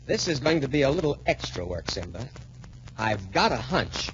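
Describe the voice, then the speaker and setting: An actor playing an earnest, trusting young student boxer in a 1940s radio adventure serial. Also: male voice, mid-range voice, very animated, normal speed, close to an everyday voice. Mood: resolute. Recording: muffled, noisy 1940s radio recording.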